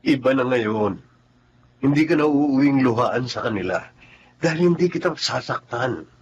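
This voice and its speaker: An actor playing a smooth-talking cheating husband, coaxing and reassuring, in radio drama style. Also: male voice, mid-range voice, lively intonation, normal speed, close to an everyday voice. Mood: amorous. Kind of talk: Filipino radio drama acting. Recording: slightly dull audio, faint background noise.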